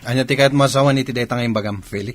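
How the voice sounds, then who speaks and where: A man playing a lazy, scheming married younger brother in a Filipino radio comedy-drama, with a husky voice and a Filipino accent. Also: mid-range voice, fairly even intonation, very fast, lightly acted. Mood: grumbling.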